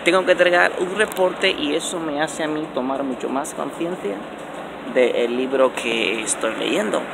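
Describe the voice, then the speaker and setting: Man in his 40s, self-help vlogger, gravelly mid-range voice, emphatic and repetitive, phone mic, station hum behind. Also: measured pace. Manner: animated and repetitive, many restarts, stressing words with rising pitch. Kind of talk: casual motivational vlog. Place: indoor underground transit station, concrete walls. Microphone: phone camera held close at arm's length. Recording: noisy phone recording in a station.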